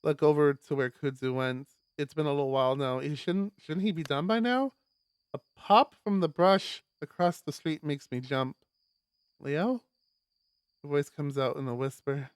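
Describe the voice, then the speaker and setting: Higher-voiced man reading a visual novel aloud. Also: normal speed, clean tone, no room sound. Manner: expressive, stumbles over words while reading. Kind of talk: live read-aloud narration with casual asides.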